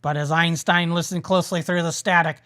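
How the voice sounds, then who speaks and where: A woman's voice: fairly low pitch, fairly even intonation, a little fast, fairly bright tone. A woman narrating a scripted audio drama as the storyteller.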